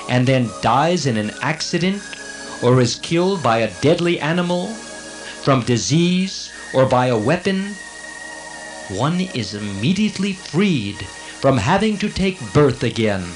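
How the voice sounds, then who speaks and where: A man's voice: a little high, very animated, a little slow, poor audio. A righteous heavenly servant of God played by a man in a scripture audio drama. He argues formally and earnestly in a raised, very melodic voice, taking his time over faint music. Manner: declamatory, formal, argumentative.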